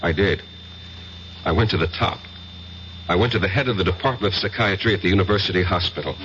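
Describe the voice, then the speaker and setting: An actor playing an uneasy, fearful college professor in an old-time radio drama, with a hesitant, agitated and dramatic delivery. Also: male voice, low voice, fast talker, close to an everyday voice. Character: uneasy, fearful male college professor recounting his ordeal. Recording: muffled, hissy old radio recording.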